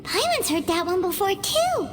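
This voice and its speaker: A woman's voice: very high-pitched, very animated, moderate pace. A woman voicing a tiny, childlike, chatty fairy companion in a video game, with a cutesy manner. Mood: puzzled. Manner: cutesy, refers to self in third person. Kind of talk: video game cutscene acting. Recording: long reverb, loud music behind.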